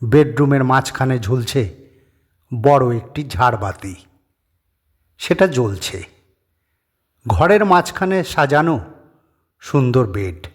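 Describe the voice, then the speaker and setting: A man narrating a scripted horror story as its reader, measured, pausing between short phrases. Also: mid-range voice, expressive.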